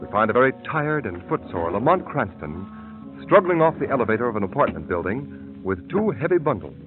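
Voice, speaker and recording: A man's voice: medium pitch, very animated, a little fast, over music. A man announcing in emphatic, polished 1930s radio style, reading scripted ads and narration. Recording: tinny, dry vintage radio broadcast.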